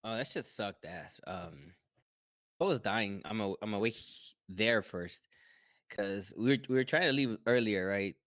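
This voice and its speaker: A man's voice: on the low side, animated, a little slow, fairly bright tone, dry recording. A man co-hosting a fan podcast, chatting casually, rambling and swearing as he jokes. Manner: rambling, profane, joking. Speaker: podcast co-host. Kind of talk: casual chat.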